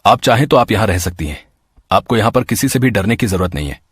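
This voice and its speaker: A man plays a kind young city passerby in a cartoon moral tale. His voice is husky and close, with a dry sound and a helpful manner. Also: lowish voice, lively intonation, fast, no echo. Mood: concerned, reassuring. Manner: exclaiming, helpful.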